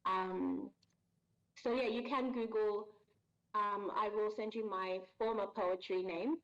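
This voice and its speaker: Woman, 30s, interview guest; mid pitch, thoughtful with lots of fillers, muffled call audio. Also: steady tone, takes it slowly. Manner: thoughtful, hesitant, many fillers and restarts. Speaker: voice actress guest. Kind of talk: casual interview answers.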